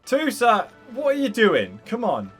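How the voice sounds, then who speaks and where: A woman's voice: fairly high pitch, wildly swooping pitch, a little slow, over music. A woman hosting a gaming video, giving casual let's play reactions.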